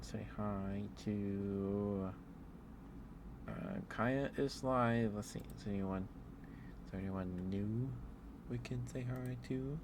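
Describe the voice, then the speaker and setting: Male ASMR livestreamer, very low register, slow rambling delivery with hesitant pauses, casual chat. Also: fairly even intonation, noisy background.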